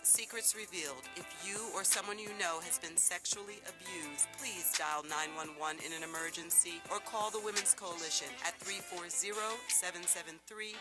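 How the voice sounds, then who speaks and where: Low-pitched female announcer over music. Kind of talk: scripted public service announcement.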